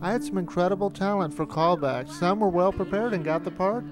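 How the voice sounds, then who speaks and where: A man's voice: high-pitched, fairly quick. A man narrating a reflective, anecdotal documentary retrospective as the filmmaker. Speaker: filmmaker looking back on studio's history. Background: music.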